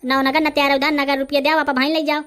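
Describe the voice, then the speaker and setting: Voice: high-pitched, flat delivery, quick pace, a bit bright. An actor with a Bundelkhandi accent voicing a brash, argumentative village man haggling in a comedy cartoon. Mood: brash, impatient.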